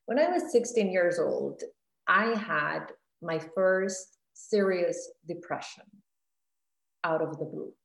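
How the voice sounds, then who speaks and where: A woman from Costa Rica speaks slowly and reflectively in a low voice, often pausing on "let's say" and "like." The sound carries a clear echo from the room around her. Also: animated. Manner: reflective, frequent "let's say" and "like" fillers. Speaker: guest. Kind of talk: casual interview conversation. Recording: echoey room.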